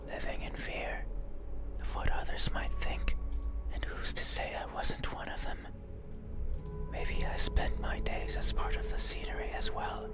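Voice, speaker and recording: A man playing a lonely, faceless creature in a horror game, musing slowly and wistfully in breathy, poetic questions. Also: natural voice. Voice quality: breathy, unvoiced whisper. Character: lonely, wistful, eerie faceless creature seeking its own expression. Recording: music behind the voice.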